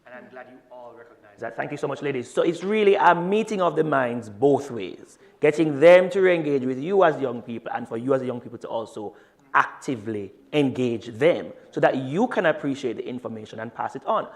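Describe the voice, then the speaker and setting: A man's voice: mid-range voice, lively intonation, fairly quick. Courteous man moderating a panel. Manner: warm, encouraging, courteous.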